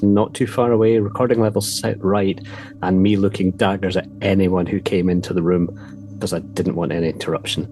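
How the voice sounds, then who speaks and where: A man with a very deep, slightly croaky voice chats loosely and rambles through his asides. Music plays clearly underneath him. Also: a little mellow. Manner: chatty, rambling, quick asides. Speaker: podcast co-host. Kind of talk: casual chat.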